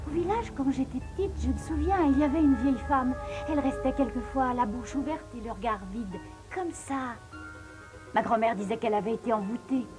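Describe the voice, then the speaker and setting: Actress as young female cartoon teammate, high-pitched, earnest, insistent, music behind. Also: a little fast, close to an everyday voice, rough recording. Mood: uneasy, recollecting. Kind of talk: French cartoon dub acting.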